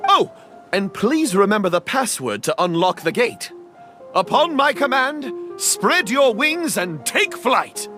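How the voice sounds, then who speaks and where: An actor playing an earnest, humble stage knight in a video game, florid and apologetic. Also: male voice, high voice, big pitch swings, slow pace, pushed and bright, soft background music, heavily acted. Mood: honored, dramatic.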